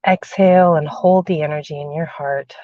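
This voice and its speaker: A woman's voice: deep voice, fairly even intonation, moderate pace, dry recording. Chatty woman livestreaming from a car. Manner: chatty, calls viewers darling and sweetheart. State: recovering from a cold, cough drop in mouth. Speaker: spiritual channeler and host. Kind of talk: casual livestream chat and guided meditation. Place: inside a parked car. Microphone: phone. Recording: dull lo-fi phone audio.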